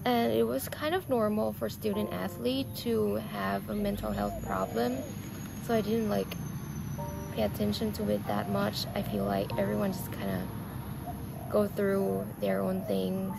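Thai woman in her twenties with a Thai accent, soft reflective vlog confession, very slow and heavily gravelly, music under her, recorded outdoors. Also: medium pitch, rough recording. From Thailand. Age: 20s. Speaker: vlogger. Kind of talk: personal storytelling to camera. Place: outdoors on a lawn. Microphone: camera on a tripod, seated facing it.

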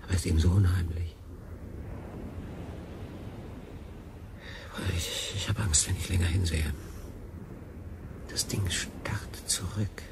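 Bewildered stranded sailor, lowish voice. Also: male voice, steady tone, moderate pace, vocal fry, heavy background noise. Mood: uneasy, weary. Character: stranded lone sailor, bewildered, frightened, doubting his sanity. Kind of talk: German radio drama acting.

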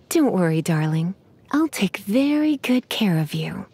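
A flirtatious witch librarian purrs in a breathy, sultry voice. She takes her time, honeyed and coy, sliding freely up and down in pitch as a teasing game character would. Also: sing-song, takes it slowly, darkish tone, dry recording, full character voice. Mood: sultry, playful. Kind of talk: English video game character voice lines.

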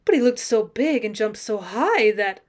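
A woman voicing a proud young frontier woman in a storybook, speaking in a deliberate manner. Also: fairly high pitch, lively intonation. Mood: humbled. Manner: deliberate, saccharine. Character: proud young frontier woman, teasing, sharp, later softened. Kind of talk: acted storybook dialogue.

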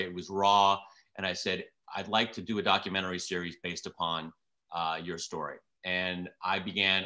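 A man in his fifties with a bright, forceful voice and a slightly low pitch. He explains his work on a video call from his living room, leaning on stories and examples. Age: 50s. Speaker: TV producer guest.

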